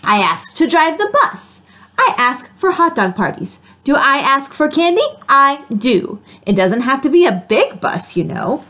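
A dramatic storybook pigeon that wails and whines over everything it never gets, as a woman reading aloud puts it on. The voice sits high and swoops wildly up and down. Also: very animated, measured pace, pushed and bright, exaggerated voice. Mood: whiny. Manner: whining, wailing complaints. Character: whiny, dramatic pigeon who always asks.

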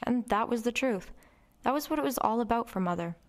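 A woman's voice: fairly high pitch, animated, a little fast. A woman playing a wry, reflective narrator recalling her troubled girlhood in a confiding first-person dramatic narration.

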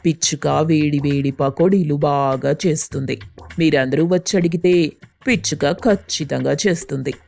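A woman's voice: deep voice, moderate pace, gravelly, a little mellow, soft background music. A woman voicing a coaxing, complaining husband crow in a children's cartoon story. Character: affectionate, nagging husband crow craving hot fritters.

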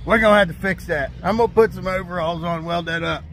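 A man, hosting a welding vlog in a relaxed, folksy way. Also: high voice, quick pace, a little hiss or hum. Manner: folksy, relaxed storytelling with fillers. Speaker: welding channel host. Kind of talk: casual vlog talk to camera. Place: in a truck cab, then a kitchen break room.

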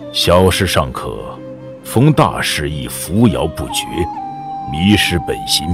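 An actor playing a solemn elderly Taoist sect master in a Chinese audiobook drama, speaking gravely and deliberately with authority. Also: male voice, deep voice, heavily acted. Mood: regretful. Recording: background music under the voice.

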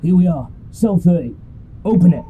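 An actor playing an urgent, brusque commander on a rescue in a radio drama. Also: male voice, sits high, lively intonation, moderate pace, noisy background. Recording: distant voice with music behind.

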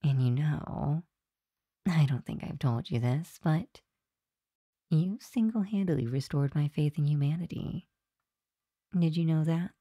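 A woman plays a sweet demon girlfriend winding down after work in an ASMR roleplay. Her voice is low and mellow, soft and confiding, full of tired affection. It is recorded close and dry. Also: unhurried, long pauses, no echo. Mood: affectionate. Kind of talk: ASMR roleplay, intimate monologue to listener. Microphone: close microphone, dry room.

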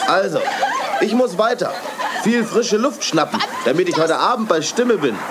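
A charming young pop singer in an old children's radio play. His voice sits fairly high and moves up and down a lot as he talks. The chatter of a party crowd fills the background. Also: sing-song, moderate pace, heavy background noise. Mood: relaxed. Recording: studio drama with party crowd.

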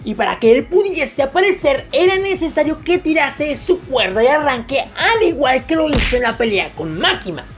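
A woman's voice: high-pitched, big pitch swings, moderate pace, hardly any pauses. A woman giving a scripted, irreverent manga review voice-over full of crude jokes and slang. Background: faint background music.

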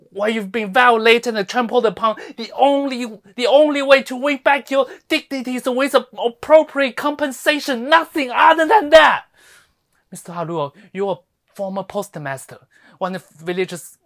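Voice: medium pitch, natural voice. An actor playing a sardonic, fiery lawyer in a theatrical monologue, scolding with scathing rhetorical questions. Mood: stirring appeal. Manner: scathing, rhetorical, swinging from hushed to ranting. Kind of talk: theatrical dramatic monologue performance.

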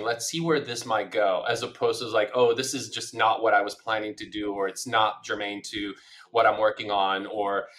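Casting: middle-aged Italian-born man, native Italian, bright voice, talks in long winding answers. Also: medium pitch. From Italy. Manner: thoughtful, discursive, many fillers. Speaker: podcast guest. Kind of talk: casual interview answers.

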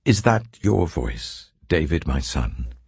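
An older man voicing a repentant king, pleading and remorseful, in a very low, gravelly voice. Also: big pitch swings, slow pace, a little mellow, dry recording, exaggerated voice. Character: weeping repentant old king.

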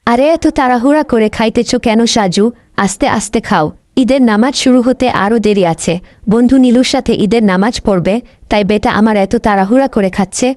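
Woman as a higher-voiced caring cartoon mother. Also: a little fast, darkish tone, no echo, studio quality, natural voice. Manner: affectionate, advising. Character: gentle, caring mother of a young boy. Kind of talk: children's cartoon dialogue.

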